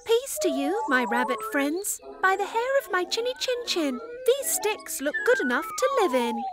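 A woman's voice: very high-pitched, big pitch swings, moderate pace, loud music behind the voice, voice pushed up. A woman voicing a polite, eager young male pig who makes peace, in a courteous sing-song for a children's story. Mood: gentle, cheerful.

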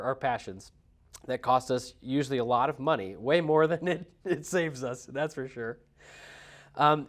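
A man in his thirties hosting a podcast, chatting casually with lots of fillers, a bit congested from a cold, recorded on a lapel mic in a small studio. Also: mid-range voice. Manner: chatty, filler-heavy, earnest. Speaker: podcast host. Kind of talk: casual interview chat. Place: small studio lounge set.

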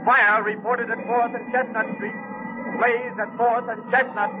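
A man's voice: very high-pitched, little pitch movement, a little slow, heavy background noise. An actor playing an urgent male dispatcher with clipped calls, in 1930s radio drama style. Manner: clipped, repetitive calling. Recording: dull 1938 radio recording with music.

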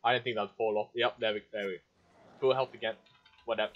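A man's voice: lowish voice, fairly even intonation, fast. A man giving casual commentary as he plays a video game. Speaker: gaming video host. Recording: dull, lo-fi microphone sound.

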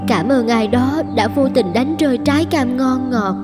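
An actress voicing a gentle, self-sacrificing young peasant mother in a Vietnamese cartoon, speaking tenderly. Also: high voice, moderate pace, lightly acted. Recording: loud background music. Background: music.

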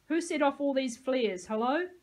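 A woman's voice: a little high, fairly even intonation, moderate pace, close to an everyday voice. A woman voicing a small, irritable old man who scolds and grumbles in an audiobook. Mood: angry, suspicious. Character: tiny, grumpy, cursing old man, gruff but helpful. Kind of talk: audiobook character dialogue.

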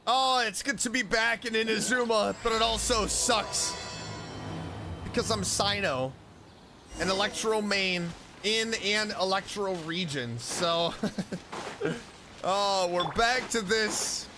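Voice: medium pitch, slow pace. A gaming streamer giving casual, playful, reactive commentary and reading dialogue over a game with music behind.

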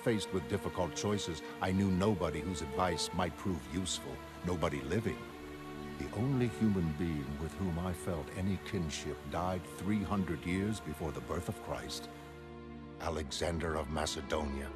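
Guy acting rich genius visionary type, low voice, lofty n formal, measured motion comic monologue, loud music under it. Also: natural voice. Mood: reflective, proud. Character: wealthy, brilliant, self-assured male visionary recounting his life. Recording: studio voice over prominent music.

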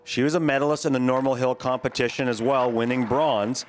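A man giving measured play-by-play commentary on a ski jumping competition, speaking as a voice-over. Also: mid-range voice. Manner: calm, measured play-by-play, lifting on big jumps. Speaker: sports commentator.

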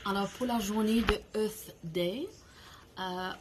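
Slow, deliberate woman speaking outdoors. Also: mid-range voice, background noise. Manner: slow and deliberate, with pauses between short phrases; speaking a language that is not her mother tongue, searching for words. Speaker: founder of an eco-products platform giving a message. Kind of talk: prepared short address to camera. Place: covered veranda outdoors, garden greenery behind.

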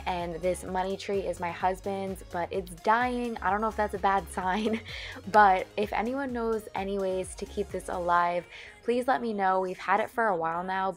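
A woman vlogger giving a casual, chatty, friendly voice-over narration. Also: mid-range voice. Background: background music.

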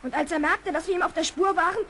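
High-voiced, excitable young adventurer. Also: female voice, fast talker. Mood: excited. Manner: earnest, exclamatory. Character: anxious, excitable child adventurer nicknamed Stubbs. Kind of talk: 1970s German children's audio play acting. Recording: old audio drama, faint background noise.

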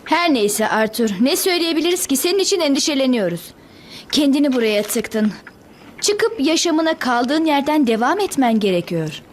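Concerned pushy cartoon friend, high voice, very animated, slight noise. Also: female voice, moderate pace. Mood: concerned, insistent. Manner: insistent, urging. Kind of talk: dubbed cartoon acting.

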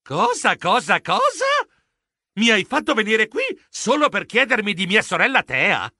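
An excitable mouse fellow, performed by a man, very high and piercingly bright, with wildly swooping pitch. He is fussy and easily flustered, whining and exclaiming dramatically in a children's audio story. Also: slow pace, in a large hall, heavily acted. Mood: indignant.

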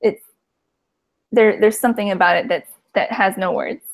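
A young adult woman hosting a casual video-call interview, speaking softly and agreeably through an earbud headset. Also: medium pitch, moderate pace, gravelly. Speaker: host.

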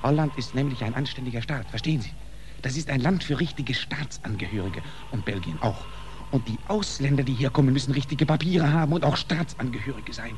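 A man's voice: mid-range voice, brisk, a little mellow, close to an everyday voice. An actor playing a curt, bossy and officious border policeman in a 1940s German radio drama. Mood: pompous. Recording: noisy old 1940s radio recording.

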